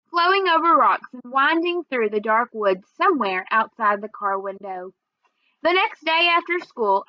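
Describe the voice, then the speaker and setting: A woman storyteller reading a first-person children's book aloud in an expressive, measured way. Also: on the high side, dry recording, muffled recording.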